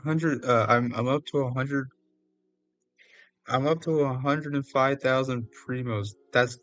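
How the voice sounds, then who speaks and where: A man's voice: medium pitch, steady tone, measured pace, fairly bright tone, no echo, dull audio, soft background music. A man giving casual, rambling, self-deprecating commentary on a livestreamed game. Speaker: streamer.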